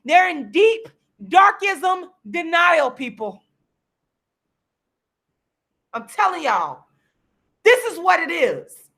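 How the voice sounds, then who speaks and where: A woman in her forties, losing her voice, delivering a heated, repetitive, preachy rant straight to the camera while she sits signing books, sometimes breaking into shouts. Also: very high-pitched, big pitch swings, takes it slowly. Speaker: vlogger and activist. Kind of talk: impassioned direct-to-camera rant. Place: seated at home signing books.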